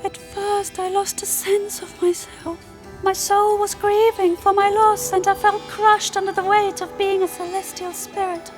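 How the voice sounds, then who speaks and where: A woman's voice: extremely high pitch, flat delivery. A woman playing a serene, lofty female moon spirit in an audio drama, speaking formally and solemnly over music. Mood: solemn, confessional. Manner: formal, solemn, measured storytelling. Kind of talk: audio drama character monologue. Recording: music behind the voice.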